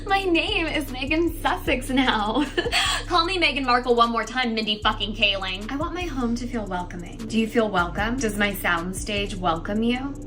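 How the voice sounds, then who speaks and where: A woman's voice: fairly high pitch, moderate pace, lightly acted. Woman doing a giggly, vain celebrity parody. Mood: mocking.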